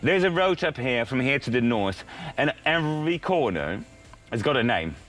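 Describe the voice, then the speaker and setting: A man whose mother tongue is Dutch, telling stories casually as a podcast guest with lively exclamations. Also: mid-range voice, moderate pace, faint music behind. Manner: lively, animated, breaks into exclaimed sayings. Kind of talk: casual storytelling.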